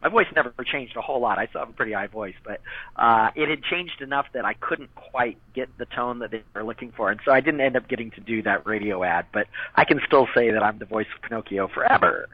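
A man's voice: mid-range voice, fast talker, dry recording. Man, interview guest, rambling with fillers, dry tinny phone sound. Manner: rambling, many fillers and restarts. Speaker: interview guest, former child voice actor. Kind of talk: casual interview chat.

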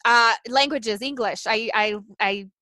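A woman's voice: a little high, a little slow, pushed and bright, dry recording. Portuguese-native woman guest, dry close sound. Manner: earnest, thinks aloud with restarts and "you know". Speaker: life coach guest. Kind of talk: casual interview chat.

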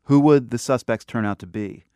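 A man hosting a radio news program, asking questions in a calm, measured way. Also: medium pitch, smooth, no echo, clean studio sound.

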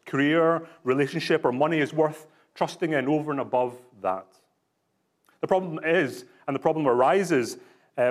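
A man from Scotland with a Scottish accent, a pastor giving a conversational sermon full of rhetorical questions and fillers. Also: mid-range voice.

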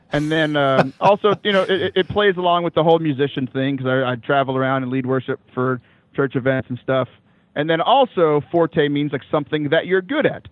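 Loud, animated young man on a phone line. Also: a little high, dry recording. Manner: animated, laughs often, many fillers and restarts. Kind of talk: casual interview chat. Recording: dry, phone-like call line.

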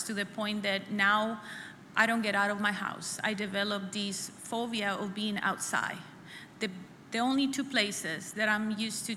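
Middle-aged woman, bright and sharp tone, earnest testimony speaker in a reverberant church hall. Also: mid-range voice. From El Salvador. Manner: earnest and halting, repeats phrases. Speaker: conference speaker sharing her testimony. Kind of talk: personal testimony at a church women's conference. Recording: echoey hall with faint room noise.

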